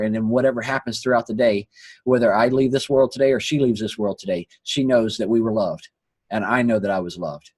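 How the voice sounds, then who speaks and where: A hoarse man who is losing his voice hosts an earnest, preachy motivational talk and often repeats his phrases. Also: mid-range voice, a little mellow, dry recording. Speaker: host. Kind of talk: motivational talk on a live online call.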